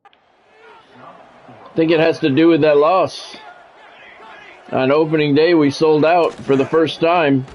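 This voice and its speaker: Male game streamer, fairly high voice, announcer-like clipped play calls mixed with easy viewer chat, music underneath. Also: fairly even intonation, slow pace, long pauses, a little mellow, muffled recording. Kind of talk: sports commentary mixed with casual livestream chat.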